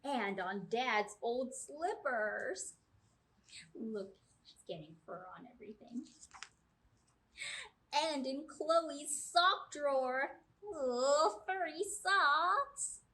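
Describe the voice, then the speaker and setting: Children's storytime reader: woman, high and very lively pitch, expressive delivery, questions to the kids. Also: a little hiss or hum. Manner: expressive, drawn-out key words, questions to listeners. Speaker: children's librarian and storytime host. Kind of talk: read-aloud storytime for young children. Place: small indoor room with brick wall.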